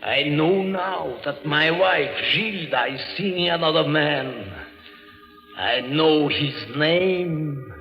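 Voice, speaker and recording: An actor playing a tormented, jealous dead husband, melodramatic and accusing in 1940s radio thriller style. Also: male voice, on the high side, slow pace, lo-fi sound, faint music behind. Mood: brooding. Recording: old radio recording, long cavernous reverb.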